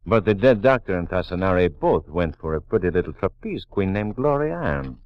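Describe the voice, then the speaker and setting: Brief: wisecracking homicide lieutenant, deep and animated, old radio. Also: male voice, a little fast, dry recording. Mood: sarcastic. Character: gruff, sarcastic middle-aged police lieutenant. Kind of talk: 1940s radio drama acting. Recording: dull old radio transcription.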